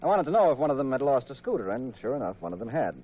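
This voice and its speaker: An American actor playing a shrewd, composed detective in an easygoing, pointed storytelling style for a 1950s radio mystery. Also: male voice, medium pitch, sing-song, racing, a little hiss or hum, lightly acted. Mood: steady recounting. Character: shrewd, composed male amateur detective, husband. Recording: muffled, dull 1950s radio recording.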